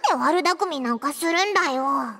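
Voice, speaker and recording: A woman voicing a small, childlike floating fairy in a Japanese game, excitable and nosy, with a squeaky voice and blunt, exclamatory phrasing. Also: normal speed. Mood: grumbling. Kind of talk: Japanese anime game voice acting. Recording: game music behind the voice.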